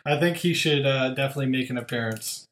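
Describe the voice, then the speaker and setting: A man's voice: mid-range voice, fast, fairly bright tone, echoey room. A man hosting a podcast, chatting casually and upbeat with lots of fillers. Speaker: podcast host.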